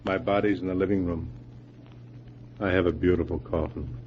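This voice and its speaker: An actor playing a murdered husband's ghost, calm, measured and reproachful, in 1940s radio drama style. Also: male voice, sits low, breathy edge. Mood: eerily calm. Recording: muffled, noisy old-time radio recording.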